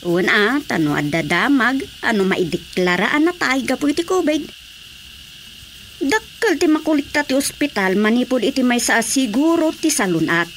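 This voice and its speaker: A woman playing a talkative, concerned village woman in a Filipino radio drama, with an Ilocano accent and expressive, gossipy delivery. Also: a little high, moderate pace, slight background noise.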